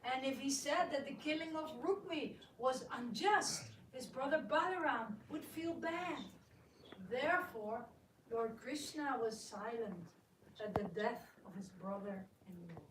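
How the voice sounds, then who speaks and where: A woman narrating a sacred tale in a dramatic reading, measured and solemn. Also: high voice, expressive, a little hiss or hum. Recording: roomy, distant live recording.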